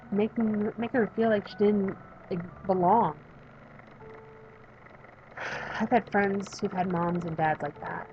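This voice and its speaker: A woman in her thirties reading a visual novel aloud and chatting about it, emphatic while reading and quiet when talking about herself. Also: medium pitch, highs cut off, slight background noise. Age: 30s. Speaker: let's play streamer reading a visual novel. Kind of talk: reading game text aloud and commenting.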